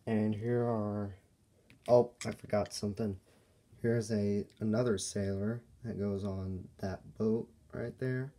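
Man, slow pace, mellow dark tone, casual toy review, halting delivery. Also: lowish voice, steady tone. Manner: halting, broken phrasing. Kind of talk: casual unscripted show-and-tell. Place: indoors at a table by a window.

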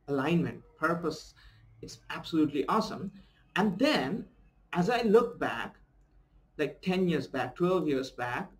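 A young adult man from India, a native Bengali speaker with an Indian English accent, giving an earnest, enthusiastic self-help talk as a life coach and often checking in with "okay?". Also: a little high. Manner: earnest and enthusiastic, tags sentences with a questioning "okay?". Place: small room at home, indoors, seated in a desk chair.

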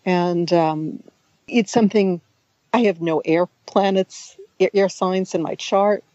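A middle-aged woman, a native Hungarian speaker raised in the United States, talking about her writing in a relaxed, chatty interview with many restarts and asides. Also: lowish voice, slow pace, dry recording, dull audio. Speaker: author and guest.

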